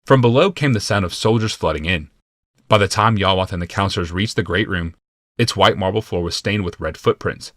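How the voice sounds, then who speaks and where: Low-voiced man narrating fantasy. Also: a bit bright, no echo, studio quality. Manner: measured, deliberate, dramatic. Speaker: audiobook narrator. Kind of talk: scripted dramatic fantasy narration.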